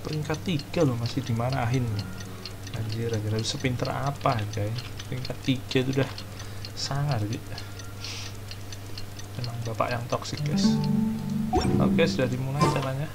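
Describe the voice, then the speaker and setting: A man's voice: mid-range voice, brisk, pauses often. An Indonesian-accented man giving casual, slangy gameplay commentary as a streamer, reacting to what happens in the game. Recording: loud game music behind voice. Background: video game music and sound effects.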